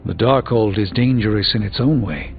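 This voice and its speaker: An actor playing a learned sorcerer mentor in a video game, speaking in a grave, measured, formal way. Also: male voice, lowish voice, natural voice. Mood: grave, explanatory. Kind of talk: video game character dialogue. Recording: dull band-limited game audio, music behind.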